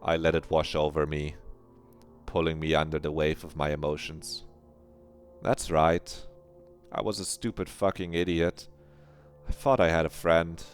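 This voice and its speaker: A man's voice: rumbling low, level tone, unhurried, long pauses, faint music behind. A man reading a visual novel aloud as a streamer, narrating earnestly and reflectively.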